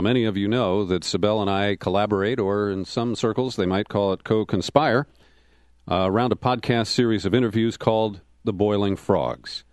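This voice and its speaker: A man hosting a political radio interview, talking in a measured way and reading out web addresses slowly. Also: lowish voice, darkish tone, no room sound, clean studio sound. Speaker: radio talk show host.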